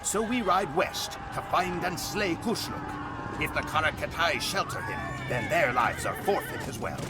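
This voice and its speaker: A proud steppe warrior telling his Khan's story for a strategy game cutscene. His somewhat high male voice rises and falls dramatically, savouring every grim phrase with solemn theatricality. Music plays underneath. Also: lively intonation, a little slow. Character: proud, grim Mongol horse warrior chronicling his Khan. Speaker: campaign narrator.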